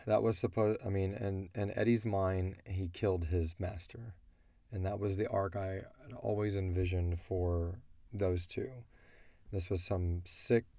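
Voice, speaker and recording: A man's voice: low voice, warm tone, no room sound, clean studio sound. A man answering listener questions as an audio drama creator, talking casually and bluntly as he thinks aloud.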